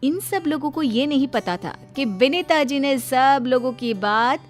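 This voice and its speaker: High, lively woman storyteller over music. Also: measured pace. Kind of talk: scripted family-story narration. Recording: music under the voice.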